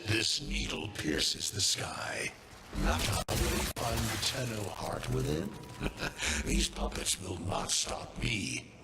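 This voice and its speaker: Game villain: ancient inhuman warlord, low and very slow, grandiose menace, loud score behind. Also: male voice, big pitch swings, vocal fry, heavily acted, rough recording. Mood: menacing. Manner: slow, ominous, grandiloquent proclamations. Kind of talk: video game villain acting. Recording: loud music over the voice.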